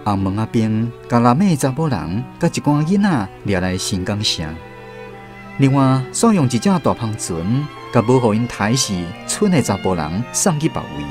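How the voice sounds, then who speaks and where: A man's voice: mid-range voice, very animated, normal speed, music in the background. A man with a Taiwanese accent narrating a literary radio drama.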